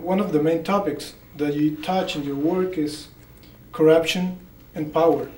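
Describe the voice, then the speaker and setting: A man's voice: on the high side, warm tone. A man from Chile asking questions at a panel discussion, hesitant and searching for words. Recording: echoey room, far from the mic, faint noise.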